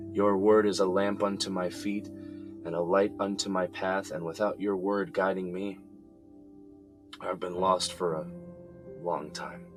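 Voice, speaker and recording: An actor playing a grown son who is in jail and prays for help, in an earnest, halting way with sighs. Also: male voice, deep voice. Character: adult son jailed, praying for release. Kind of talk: audio drama acting. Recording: music under the voice.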